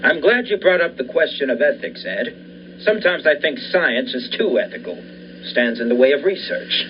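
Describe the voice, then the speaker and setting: A man's voice: medium pitch, expressive, normal speed, close to an everyday voice. A young man playing an obsessive, defiant research scientist in the style of a 1940s radio thriller, earnest and insistent. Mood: confident. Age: young adult. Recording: dull lo-fi recording with faint noise.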